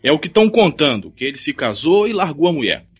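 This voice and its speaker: An actor playing an insistent, jealous young rural suitor in a radio drama, with a Brazilian accent. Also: male voice, fairly high pitch, sing-song, fast, slightly rough. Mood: jealous, pleading.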